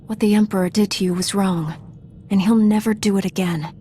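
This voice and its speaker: A woman playing a steadfast, earnest Jedi hero in a video game, calm and resolute. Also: medium pitch, fairly quick, slight background noise, lightly acted.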